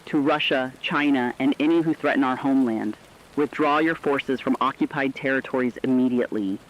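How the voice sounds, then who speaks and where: A man playing a grave radio correspondent, reading war news in a solemn, forceful, declamatory scripted style. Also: medium pitch, muffled recording, lightly acted. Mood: defiant, resolute. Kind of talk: scripted dramatic news broadcast.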